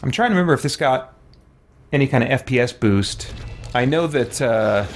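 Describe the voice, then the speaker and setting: A man's voice: mid-range voice, lively intonation, normal speed, faint music behind. Rambling man doing casual let's play commentary. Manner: rambling, conversational, thinking aloud. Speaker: gaming YouTuber and streamer.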